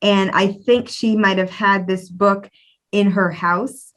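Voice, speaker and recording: A woman's voice: mid-range voice, steady tone, slow pace, fairly bright tone. A woman speaking casually and conversationally as the host of a museum program. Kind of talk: casual conversational hosting and storytelling.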